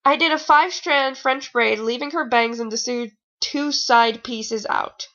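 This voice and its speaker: High, bright young woman, lo-fi recording. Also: measured pace. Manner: snarky, rambling, informal. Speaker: fan-fiction reader and commentator. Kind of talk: casual read-aloud with sarcastic commentary. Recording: dull lo-fi home recording.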